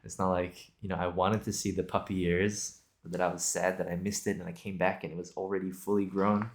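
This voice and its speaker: A young man with a deep voice and a lot of vocal fry talks casually to his podcast audience. He improvises as he goes, hesitating and circling back as he thinks out loud. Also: little pitch movement, brisk. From Singapore and Australia. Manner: rambling, hesitant, thinking aloud. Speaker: video podcast host. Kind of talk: casual improvised solo chat.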